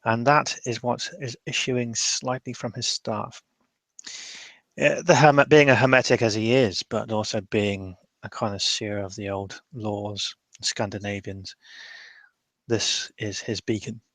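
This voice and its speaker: A man improvising narration for a tabletop roleplay, describing things in a measured way. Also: on the low side, steady tone, a bit bright, no room sound.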